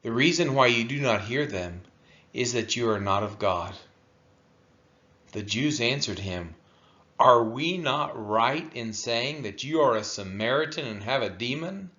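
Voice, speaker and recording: A man reading scripture aloud in a solemn style, with deliberate, emphatic phrasing and a dull, lo-fi sound. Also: medium pitch, expressive, bright, forceful tone. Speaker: scripture reader.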